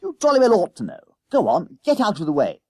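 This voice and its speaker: A man's voice: sits high, expressive, moderate pace, no room sound, clean studio sound, voice pushed up. A man voicing a bossy, hot-tempered, boastful schoolboy who blusters in boarding-school slang for a storybook. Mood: angry, threatening.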